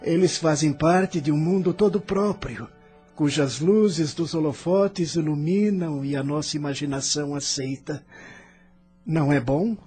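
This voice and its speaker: A man's voice: a little high, unhurried, mellow, breathy edge, faint music behind. A man with a Brazilian accent playing a bitter, bewildered, philosophizing soul newly arrived in the afterlife, in a theatrical audio drama. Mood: musing, wry.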